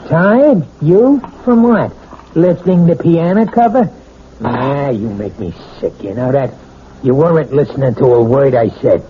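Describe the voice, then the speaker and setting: A man's voice: mid-range voice, animated, measured pace, close to an everyday voice. An American actor playing a bullying, self-pitying husband in a radio drama, blunt, sarcastic and slangy as he badgers. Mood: scornful, accusing. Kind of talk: old-time radio drama acting. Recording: muffled, noisy old radio broadcast.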